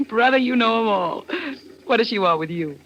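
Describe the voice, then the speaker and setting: A woman's voice: on the high side, lively intonation, moderate pace, fairly bright tone. An actress playing a sassy, put-out American girlfriend in a vintage radio drama, sardonic and teasing. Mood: wry, aggrieved. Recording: dull, noisy old radio recording.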